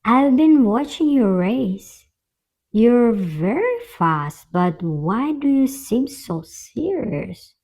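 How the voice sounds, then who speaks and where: A gentle young nymph from a children's fairy tale, voiced by a woman. She speaks very slowly in a mellow tone, kind and earnest, each phrase measured. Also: on the high side, very animated, exaggerated voice. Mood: friendly, curious.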